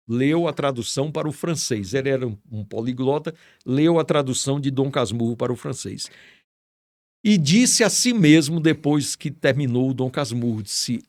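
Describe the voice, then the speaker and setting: A man's voice: medium pitch, darkish tone, dry recording, clean studio sound. A middle-aged man from Ceará, Brazil, a writer telling stories with enthusiasm and admiration as a guest in an interview. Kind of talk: storytelling in a casual interview.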